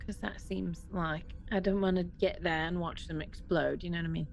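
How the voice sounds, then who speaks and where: A woman's voice: lowish voice, steady tone, normal speed. A woman chatting casually and informally as a player in a tabletop role-playing game. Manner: informal, conversational. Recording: clear background noise.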